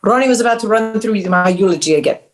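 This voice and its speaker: A woman's voice: medium pitch, fast talker, natural voice. A woman acting a domineering older mother at a script read, pointed and sweetly cutting. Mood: scolding. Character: sharp-tongued, passive-aggressive older mother, domineering. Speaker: actor in a script table read. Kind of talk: table read of a screenplay.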